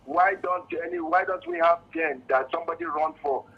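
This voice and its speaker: A man's voice: high-pitched, lively intonation, brisk, creaky, breathy edge. A Nigerian man with a Nigerian accent phoning a radio show to give his opinion, earnest and insistent, often repeating words as he goes. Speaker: radio phone-in caller. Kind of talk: spontaneous opinion on a call-in show. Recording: muffled, lo-fi phone call to radio.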